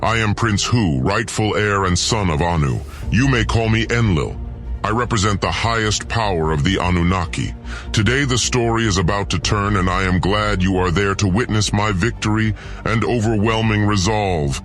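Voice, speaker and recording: A man's voice: fairly low pitch, moderate pace, a bit bright, soft background music, lightly acted. A man voicing an arrogant, scheming alien prince, pompous and sneering, narrating his own audio drama. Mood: haughty, boastful.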